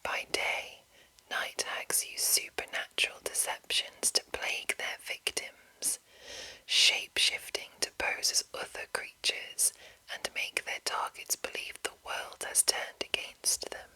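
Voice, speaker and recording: A woman whispering in a breathy, unvoiced voice as an ASMR host, reading deliberately with soft enunciation. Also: poor audio. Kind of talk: whispered ASMR reading of a game manual with casual asides.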